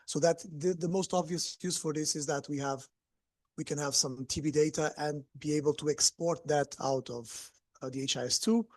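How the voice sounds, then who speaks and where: Guy explaining stuff at conference q&a, voice a little high, dry sound. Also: fairly even intonation, moderate pace, no room sound. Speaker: co-presenter.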